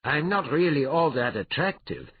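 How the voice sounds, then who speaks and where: A wily male adventurer, played by a man reading a storybook, taunts with urbane mock politeness. The voice is lifted in pitch and very lively, slightly husky and recorded dry. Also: moderate pace, dry recording, phone-quality sound. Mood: mild taunting.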